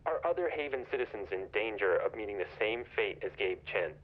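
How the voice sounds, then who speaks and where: An actor playing a polite, probing newspaper journalist in a video game, professional in manner, heard over a phone line. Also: male voice, fairly low pitch, lively intonation, brisk. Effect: phone call filter. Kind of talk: naturalistic video game acting. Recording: tinny, narrow phone line.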